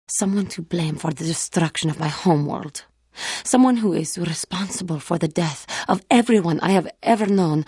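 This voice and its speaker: An actress voicing a proud, solemn alien woman warrior in a video game, with formal, measured diction and a wounded, bitter temper. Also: low voice, darkish tone, slightly rough, no room sound, clean studio sound. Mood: troubled, pained. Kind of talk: scripted game character dialogue.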